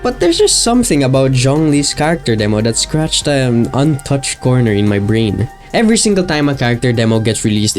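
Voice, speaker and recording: A man's voice: mid-range voice, a little mellow. Man doing casual video commentary over music. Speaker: video essayist, channel host. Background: guitar and plucked-string music.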